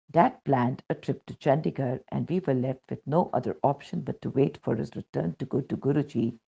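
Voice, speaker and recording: Husky, animated Indian woman reading aloud. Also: very deep voice, muffled recording. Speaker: reader of a devotional testimony. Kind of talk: scripted narration, reading aloud a first-person spiritual account.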